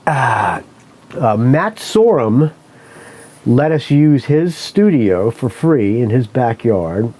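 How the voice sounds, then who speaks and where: A man's voice: medium pitch, very animated, slow, warm tone, husky. A man hosting a podcast, telling a story in a casual, rambling way, correcting himself often and swearing freely. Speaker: podcast host.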